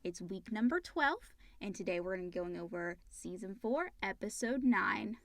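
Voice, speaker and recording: A woman's voice: mid-range voice, animated, moderate pace, no echo. A woman co-hosting a casual question-and-answer show, reading listener questions aloud.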